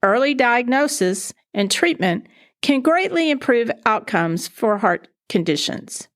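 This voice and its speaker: A woman's voice: a little high, expressive, unhurried. A woman podcast reporter reading a scripted news narration.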